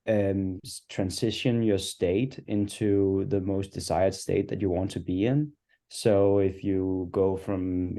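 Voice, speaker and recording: A man from Denmark, a software engineer, introducing himself haltingly on a video call. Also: fairly low pitch. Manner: halting, many fillers.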